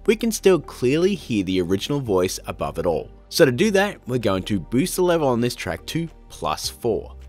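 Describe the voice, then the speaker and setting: A man in his thirties hosting a step-by-step video tutorial in an upbeat, chatty, informal way, talking straight to viewers. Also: mid-range voice. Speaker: host, video tutorial presenter.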